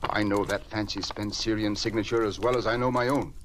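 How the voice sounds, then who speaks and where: A man's voice: lowish voice, steady tone, fairly quick, fairly bright tone, close to an everyday voice. An actor playing an earnest, troubled older male judge with a hesitant, formal manner in a 1940s radio drama. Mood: concerned, earnest. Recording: noisy old radio recording.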